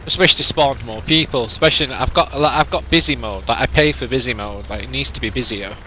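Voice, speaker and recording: A man's voice: medium pitch, fast, sharp tone, slight background noise, low-quality recording. Lancashire man streaming, nasal with a cold. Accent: northern English, Lancashire. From England. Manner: chatty and rambling, reads chat aloud. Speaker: game streamer. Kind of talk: casual live-stream chat with viewers.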